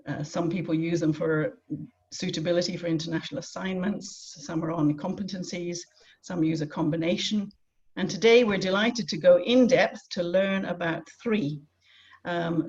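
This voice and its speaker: A webinar host opens the session and hands over to the first guest, pausing often on ums and restarting phrases. The voice sits at a middle pitch, and the call audio comes through dull and muffled. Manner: hesitant, with frequent ums and restarts. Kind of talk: hosting and introducing speakers. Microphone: video call.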